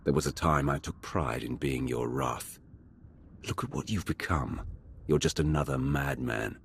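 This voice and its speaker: An extremely low-voiced, imperious Sith enforcer in a video game cutscene. He speaks with cold, formal authority, over a faint background noise. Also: a little slow. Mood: scornful. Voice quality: very deep. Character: stern male Sith warrior, the Emperor's former enforcer.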